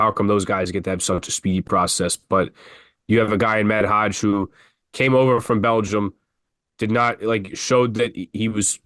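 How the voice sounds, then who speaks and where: A man's voice: on the low side, a bit bright, no echo. A man co-hosting a college basketball podcast, talking casually and rambling with frequent restarts. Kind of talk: casual sports talk.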